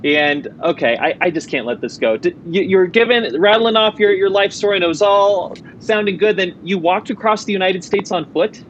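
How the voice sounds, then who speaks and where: A man hosting a podcast, his voice sitting a little high. He talks casually and jokes, often stopping to correct or rephrase himself. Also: moderate pace, few pauses, a bit bright, muffled recording, a little hiss or hum. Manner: joking, rambling with self-corrections. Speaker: podcast host. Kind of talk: casual interview chat.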